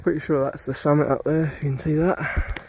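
A man's voice: on the high side, steady tone, brisk, darkish tone, a little hiss or hum. Chatty young man vlogging on a misty hike. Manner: breathless, chatty, laughing between remarks. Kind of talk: casual travel vlog narration. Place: outdoors on a rainy misty hilltop. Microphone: phone camera at arm's length.

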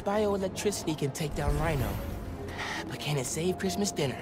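A man's voice: fairly high pitch, animated, normal speed, loud music behind the voice, lightly acted. An actor playing a friendly, awkward and eager teenage boy with an American accent in a video game. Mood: muttering, hurried. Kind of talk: naturalistic video game acting.

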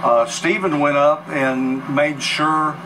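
A man in his sixties with an American accent, recounting events as an interview guest in a sober, halting, matter-of-fact way. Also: a little high, steady tone. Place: news bureau studio, replayed on a TV in a room. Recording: distant TV filmed off screen, clear noise.

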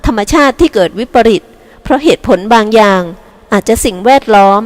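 A woman's voice: a little high, animated, a little fast, in a large hall, lightly acted. A woman voicing a cheerful, confident young older sister who talks in a breezy, reassuring way, in a storybook reading. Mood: earnest.

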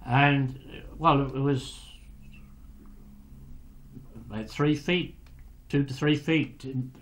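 Elderly man recalling slowly, haltingly. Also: medium pitch, fairly bright tone. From England. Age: older. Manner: halting, hesitant, many pauses and fillers. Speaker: former lighthouse keeper. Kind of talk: recorded wartime recollection.

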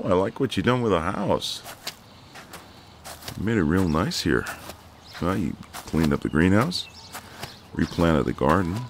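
A man playing a friendly, gallant, gently teasing ex-marine neighbour in an intimate, easygoing one-sided roleplay. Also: low-pitched, noisy background, exaggerated voice. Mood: friendly, chatty. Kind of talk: intimate ASMR roleplay, one side of a conversation.

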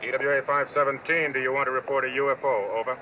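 A man's voice: medium pitch, steady tone, dull audio, lightly acted. A man playing a focused air traffic controller in a film, giving clipped, businesslike instructions with small hesitations. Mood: careful, official. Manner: measured, businesslike, with hesitant pauses. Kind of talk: clipped procedural radio talk in a film.

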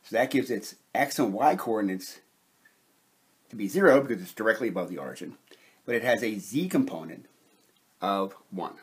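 Slightly husky man teaching step by step. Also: fairly low pitch, lively intonation. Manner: measured, methodical, explanatory. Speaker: chemistry instructor. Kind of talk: step-by-step whiteboard lecture.

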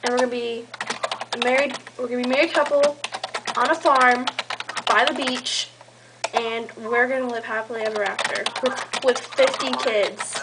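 High-voiced young woman teasing on a call. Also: fairly even intonation, moderate pace. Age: young adult. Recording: noisy amateur home camera recording.

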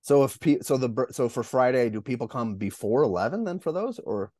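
Middle aged guy interviewing on a video call, soft mellow tone, chatty, dry room. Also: mid-range voice, animated, fairly quick, dry recording. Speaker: interview host. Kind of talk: casual interview chat.

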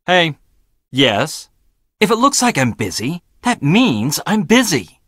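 A man's voice: fairly high pitch, sing-song, takes it slowly, fairly bright tone, no room sound, heavily acted. An actor playing a prickly, condescending young male scientist in a video game, with clipped, sardonic delivery. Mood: irritated. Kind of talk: video game character dialogue.

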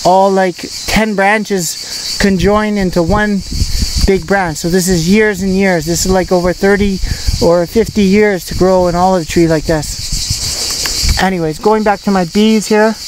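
A woman in her thirties talks casually to a handheld camera while walking around a rural farm. She rambles and restarts her phrases. Crickets and insects are clearly heard outdoors behind her. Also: medium pitch, steady tone, a little slow, background noise. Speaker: vlogger showing her farm. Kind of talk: casual walk-and-talk vlog.

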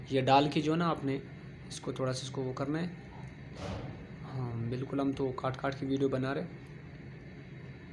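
A man's voice: mid-range voice, background noise. Man talking through a cooking tutorial. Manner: plain step-by-step instructions, repeats phrases. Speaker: home cooking channel host.

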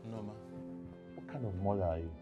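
A man's voice: fairly low pitch, fairly quick, lo-fi sound, soft background music, close to an everyday voice. A middle-aged man with a Nigerian accent acting a sceptical, reproving father in a family drama, blunt and questioning.

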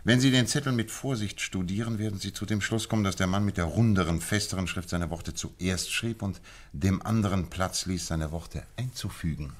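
A man's voice: sits low, normal speed. A man playing a confident, methodical detective in a German radio play, lecturing precisely on his deductions. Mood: confident, instructive. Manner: lecturing, precise, self-assured.

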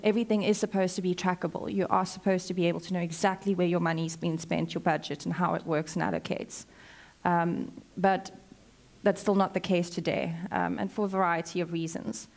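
A woman's voice: lowish voice, darkish tone. A woman in her thirties with a South African accent, giving a conference talk in a chatty, conversational way full of ums. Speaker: conference speaker, consultant. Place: large hotel conference hall, indoors.

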